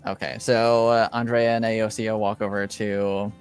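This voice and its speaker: Slow, halting game master with a clear voice. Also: mid-range voice, level tone, faint music behind. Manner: hesitant, halting, searching for words. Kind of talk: improvised tabletop roleplaying narration.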